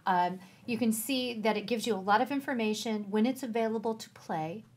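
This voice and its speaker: A woman with a fairly high, husky-edged voice co-hosts an education segment. She explains things casually, as a presenter guiding viewers through a resource. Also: brisk.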